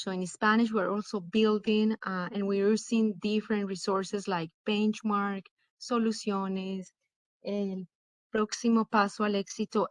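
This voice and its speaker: A woman's voice: medium pitch, no room sound. Woman explaining in a dry, close recording. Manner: explanatory, step by step. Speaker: dual language program educator presenting. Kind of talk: webinar presentation.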